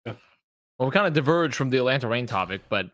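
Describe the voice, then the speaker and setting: A man's voice: medium pitch, animated, a little fast, a bit bright, no room sound. A man giving casual match analysis as an esports podcast co-host.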